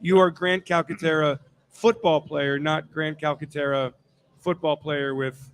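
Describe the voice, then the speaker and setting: Fairly high-voiced male sports interviewer. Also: fairly even intonation, a little slow, smooth. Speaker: radio show interviewer. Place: live radio show at a casino.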